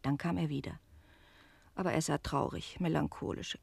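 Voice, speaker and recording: An actress in a German radio play voicing a lively innkeeper woman who tells a tale with articulate, expressive delivery. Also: low voice, normal speed, vocal fry, breathy edge, no room sound, full character voice. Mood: knowing irony.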